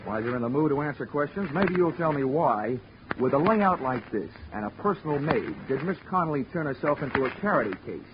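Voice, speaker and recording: An actor playing a cocky, wisecracking insurance investigator in 1940s radio detective style. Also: male voice, medium pitch, lively intonation, brisk, background noise, lightly acted. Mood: irritated, challenging. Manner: dry, wisecracking. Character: wry, cocky hard-boiled male insurance investigator. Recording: dull old radio recording with music.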